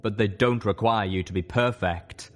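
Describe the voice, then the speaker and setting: A steady dragon mentor from a storybook, read by a man. He speaks matter-of-factly in a fairly low male voice. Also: normal speed, close to an everyday voice. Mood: calm, reassuring.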